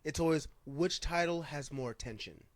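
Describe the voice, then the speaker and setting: Man, casual talk show host, somewhat high pitch, dry room-free sound, informal, hesitant phrasing. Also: normal speed, no room sound. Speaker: podcast host. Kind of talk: casual chat about pro wrestling.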